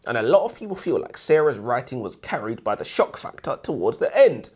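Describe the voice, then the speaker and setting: A husky-voiced man co-hosts a casual book review. His intonation jumps around dramatically, and he delivers his opinions with slangy banter. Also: mid-range voice, big pitch swings, a little fast. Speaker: co-host of a book review show.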